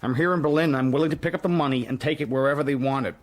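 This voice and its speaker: A man's voice: medium pitch, very fast, natural voice. An actor with an American accent playing a controlled, determined, secretive man who also narrates a radio drama. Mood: urgent, determined. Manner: controlled, insistent. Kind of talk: radio drama acting with first-person narration.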